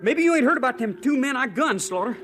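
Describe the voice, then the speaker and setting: High-voiced, hotheaded young cowhand. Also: male voice, animated, fast. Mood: defiant, jumpy. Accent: American. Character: jumpy, boastful young hothead cowhand, male. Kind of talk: old-time radio western acting. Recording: noisy vintage radio recording.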